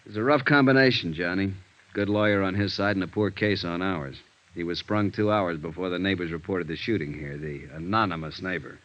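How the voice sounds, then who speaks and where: Actor as a low-voiced, world-weary police sergeant. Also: male voice, brisk, natural voice. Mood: businesslike. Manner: matter-of-fact, gruffly businesslike. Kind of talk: 1950s radio detective drama acting. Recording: dull vintage radio recording.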